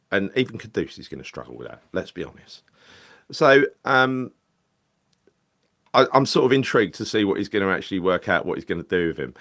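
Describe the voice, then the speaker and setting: A man's voice: on the low side, a bit bright. A man talking casually about football, rambling and correcting himself with lots of fillers. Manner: rambling, hesitant, frequent fillers and self-corrections. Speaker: football podcast host. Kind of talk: casual solo football chat.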